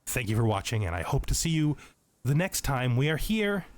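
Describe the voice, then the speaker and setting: A man's voice: mid-range voice, big pitch swings, normal speed. Man giving an unscripted game tutorial. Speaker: tutorial let's play host.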